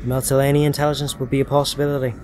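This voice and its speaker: A man's voice: mid-range voice, little pitch movement, rapid-fire, noisy background, natural voice. A man playing a dry, skeptical intelligence agent in a science fiction audio drama, clipped and matter-of-fact. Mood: dry, businesslike.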